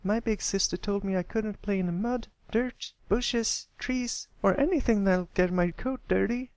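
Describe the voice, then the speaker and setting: Here is a young cartoon filly played by a man, pitched very high in a girlish falsetto. She has the pouty air of a little sister in a storybook. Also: a little slow, no room sound. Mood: pouty, mimicking.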